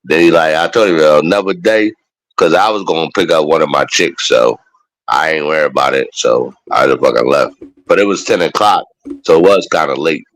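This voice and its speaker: Deep-voiced man in his forties, profane. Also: brisk, fairly bright tone, poor audio. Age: 40s. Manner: loose, profane street talk. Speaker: guest on a live stream. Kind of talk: casual chat.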